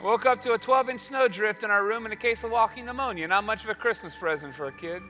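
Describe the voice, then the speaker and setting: A man's voice: extremely high pitch, pushed and bright. A man hosting a stage show, telling a comic story with a showman's delivery and repeated phrases. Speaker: show host, comic monologist. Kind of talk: stage comedy storytelling monologue.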